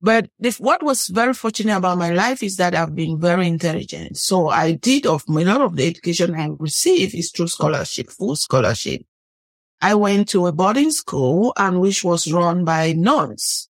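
A woman's voice: on the low side, animated, normal speed, no room sound. Middle-aged Cameroonian woman, interview guest, earnest and reflective, recorded dry. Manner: earnest, reflective, often rephrases mid-sentence. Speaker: guest, biblical studies professor. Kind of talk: personal storytelling in an interview. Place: podcast studio table.

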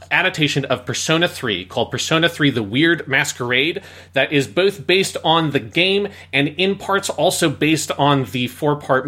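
A man's voice: mid-range voice, sharp tone. A man co-hosting a podcast, explaining things in a casual, somewhat rambling way. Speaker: podcast co-host.

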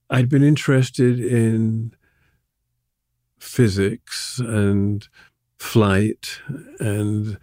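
An older man from England, answering interview questions in a thoughtful, halting way with soft laughs between thoughts. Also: fairly low pitch, no echo, clean studio sound. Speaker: artist being interviewed. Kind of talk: reflective conversational interview.

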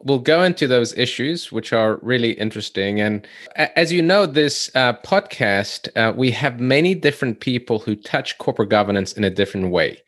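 A male podcast host with a medium-pitched voice introduces and questions his guest. His delivery is halting, full of small restarts as he feels his way through each sentence. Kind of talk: interview podcast. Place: home studio in San Francisco.

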